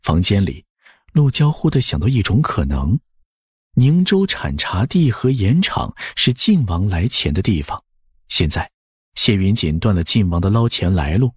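A man's voice: fairly low pitch, husky, dry recording, clean studio sound. A man reading a scripted novel narration. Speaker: audiobook narrator.

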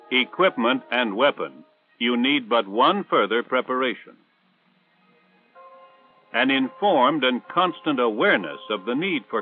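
An American man reading scripted instructional narration for a 1960s military training film in a measured, authoritative way. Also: mid-range voice. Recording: tinny band-limited 1960s film soundtrack.

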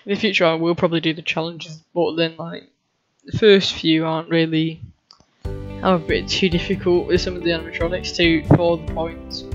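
A woman's voice: low-pitched, level tone, brisk, dull audio. A woman giving casual gameplay commentary in an offhand, muttering way. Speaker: gamer. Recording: loud game audio and music behind. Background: video game music and sounds.

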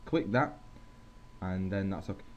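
A young man giving a casual software tutorial as host, on a headset mic. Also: low voice, unhurried. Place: at a computer. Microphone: gaming headset. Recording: dull headset mic with faint hiss.

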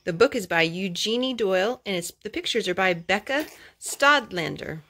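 A young woman reading a children's picture book aloud at home, phrase by phrase in a measured, careful way. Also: mid-range voice, expressive, sharp tone. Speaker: storytime host reading to her children. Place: carpeted room at home. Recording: clear background noise and clicks, homemade.

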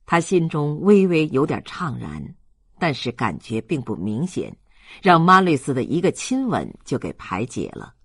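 Male narrator for a literary audiobook, husky tone, close dry sound, expressive scripted reading. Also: fairly high pitch, measured pace, no room sound.

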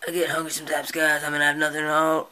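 A performer voicing a laid-back, boastful male snake who talks in breathy whispers and a drawn-out manner. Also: medium pitch, monotone, pushed and bright, lightly acted. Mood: chill.

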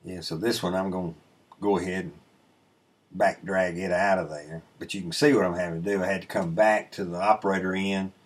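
A middle-aged man with a low, husky voice talks through his sawmill footage in a relaxed, rambling way. He explains each step plainly, filling pauses with ums and uhs. He sounds like he is seated at his desk, speaking into a webcam mic. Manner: laid-back, explanatory, rambling with many "um" and "uh". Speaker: sawmill channel host. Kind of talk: casual voice-over commentary on footage. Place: indoor room, voice-over recorded away from the sawmill. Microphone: webcam inset, seated at a desk.

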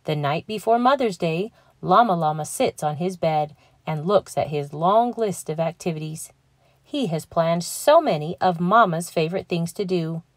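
A young adult woman reading a children's picture book aloud, gentle and clear, lifting her voice for the characters. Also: lowish voice, normal speed, no echo. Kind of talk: read-aloud storytelling.

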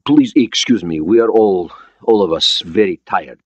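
A man voicing a weary Soviet naval officer with a Russian accent and formal, halting English in an audiobook. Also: deep voice, lively intonation, a little mellow, no echo, dull audio.

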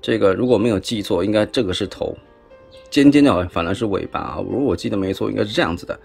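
A man's voice: fairly low pitch, fast talker, creaky, darkish tone, breathy edge, soft background music. A man with a Taiwanese accent giving a chatty, casual hands-on toy review, often tossing in rhetorical questions. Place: indoors at a tabletop.

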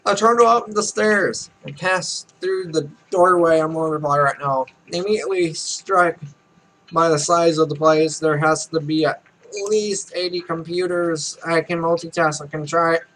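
Man, twenties, bright voice, slightly high pitch, Let's Play reading, casual joking asides. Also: steady tone, moderate pace, poor audio. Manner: joking, self-mocking asides. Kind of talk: reading game text aloud with casual commentary. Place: small room at home.

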